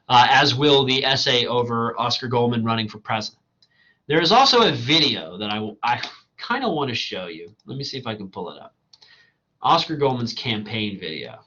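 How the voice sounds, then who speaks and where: A man teaching a college writing class in a recorded slideshow lecture, talking in a loose, conversational way, tagging "right?" onto his points, his voice going hoarse. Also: mid-range voice, bright, forceful tone, muffled recording. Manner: conversational and digressive, asks "right?" often. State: losing his voice.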